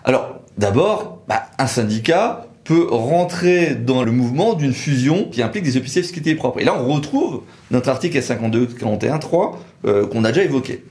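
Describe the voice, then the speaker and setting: A man's voice: mid-range voice, lively intonation, brisk, hardly any pauses, a little mellow. A man giving a technical legal explanation in a methodical, precise way, as an expert in discussion. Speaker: lawyer explaining procedures. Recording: roomy, echoing sound.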